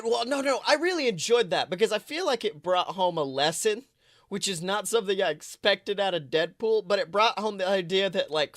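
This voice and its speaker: Bright-voiced podcast co-host, close and dry. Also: medium pitch, dry recording. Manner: animated, profane, emphatic. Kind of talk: casual film discussion podcast chat.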